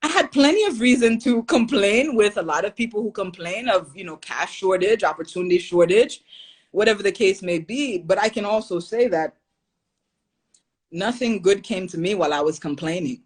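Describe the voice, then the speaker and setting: A woman from Haiti speaks as a guest on a podcast, earnest and reflective, laughing now and then. Her pitch sits in a middle range for a woman, and she talks in a casual, conversational way. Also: lively intonation, normal speed, slightly rough. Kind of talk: casual interview talk.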